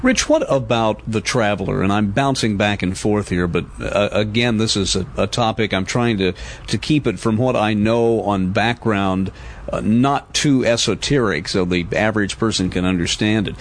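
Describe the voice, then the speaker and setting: A man's voice: lowish voice, moderate pace. An American man hosting a conversational radio interview, explaining things wordily and thinking aloud as he builds his questions, on a noisy recording. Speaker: radio host and journalist interviewing.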